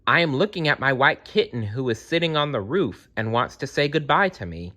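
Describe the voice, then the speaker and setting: A man's voice: mid-range voice, animated, brisk, pushed and bright, pitched above the performer's own voice. A man voicing an earnest, innocent young boy in a storybook read-aloud. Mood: innocent, excusing.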